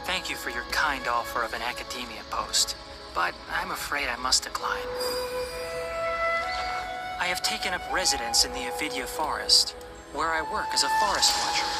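A woman voicing a calm, earnest young male forest watcher in a game trailer, speaking in a measured, formal way. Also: rumbling low, poor audio. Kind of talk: scripted game trailer narration of a letter. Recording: trailer playback under loud music.

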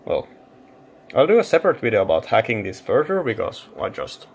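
A man's voice: fairly low pitch, huge pitch swings, brisk, vocal fry, slightly rough. A man giving casual hands-on tech commentary, thinking aloud informally as he hosts a teardown video. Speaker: host of electronics teardown channel.

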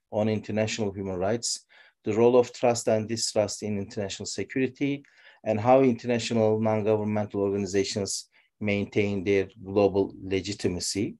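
A man's voice: fairly low pitch, mellow. A man hosting an online seminar, reading a guest's bio with some stumbles. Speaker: seminar host. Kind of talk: reading a speaker introduction. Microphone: video call.

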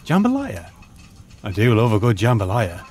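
An actor playing a nervous teacher who fakes a posh British accent, stammering and overly polite. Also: male voice, fairly low pitch, huge pitch swings, moderate pace, darkish tone, soft background music. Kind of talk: audio drama acting.

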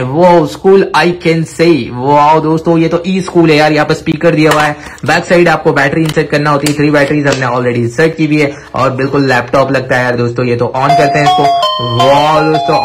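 A man hosting a toy showcase, talking to viewers with excitable, repetitive exclamations. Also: on the high side, a little fast, few pauses, faint music behind. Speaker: toy review video host. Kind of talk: enthusiastic toy showcase commentary addressed to viewers. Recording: clear background noise with clicks.

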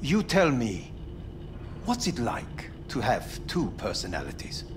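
An actor playing a formal, coolly clinical corporate scientist in a video game. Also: male voice, medium pitch, huge pitch swings, music in the background. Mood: clinical, probing. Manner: formal, measured, probing. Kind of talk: video game dialogue acting. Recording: game ambience and traffic noise behind.

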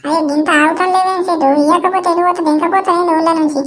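A wily old village grandmother acted by a woman from a comedy cartoon, high-pitched and rapid, with little rise and fall. She wheedles and complains evasively in a Telangana Telugu accent. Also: fairly even intonation, faint music behind. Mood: evasive, coaxing. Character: wily, pleading elderly village grandmother buying on credit.

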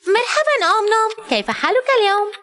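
Very high-pitched, animated woman narrating for kids. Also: fast talker. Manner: animated, exclaiming, talking to a cartoon character as to a small child. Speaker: narrator of children's educational cartoon. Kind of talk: scripted children's narration, teaching vocabulary.

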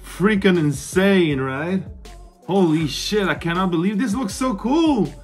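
A man in his thirties speaking casually and excitedly to camera like an informal vlogger, with some swearing. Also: high-pitched, unhurried, soft background music. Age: 30s. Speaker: vlogger, horror collector. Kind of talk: casual show-and-tell review to camera. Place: small cluttered room indoors.

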